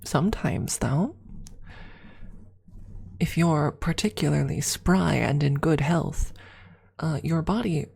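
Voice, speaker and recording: A woman telling personal stories as an ASMR streamer, casually chatting in a hushed, unhurried way with frequent pauses. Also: low voice, vocal fry, slightly rough. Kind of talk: ASMR storytelling, casual chat.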